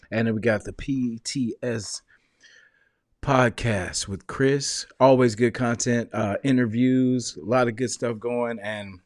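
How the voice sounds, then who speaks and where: A man's voice: medium pitch, no room sound, studio quality. A man hosting a fan podcast, laid-back and thanking his guests. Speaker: podcast host. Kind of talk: casual podcast sign-off.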